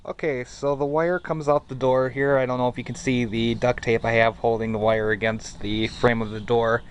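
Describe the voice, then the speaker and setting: Halting man over clearly noisy audio. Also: mid-range voice. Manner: halting, hesitant, rambling. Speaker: hobbyist vlogger. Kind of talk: casual handheld show-and-tell narration. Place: around a house, basement and outdoors. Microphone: handheld camera microphone. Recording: noisy camcorder audio, steady background noise.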